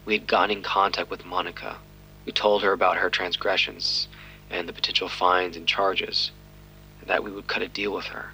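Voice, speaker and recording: A man's voice: low voice, pushed and bright, slight background noise, poor audio. An actor playing a world-weary male detective recounting his case in scripted first-person narration.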